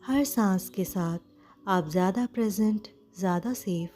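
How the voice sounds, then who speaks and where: An Indian woman narrating a guided meditation in a soothing, gentle manner, leaving frequent pauses between short phrases. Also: mid-range voice, faint music behind.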